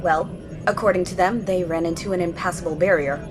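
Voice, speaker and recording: A woman's voice: on the low side, fairly even intonation, brisk, music in the background, close to an everyday voice. An actress playing a dutiful female naval officer, formal and matter-of-fact, in an audio drama. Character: crisp, deferential female naval junior officer.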